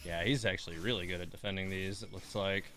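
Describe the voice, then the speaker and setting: A man's voice: sits low, steady tone, quick pace. A man giving casual livestream gaming commentary, musing as he talks his choices through to himself. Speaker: livestream gamer, host. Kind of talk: casual gameplay livestream commentary, thinking aloud.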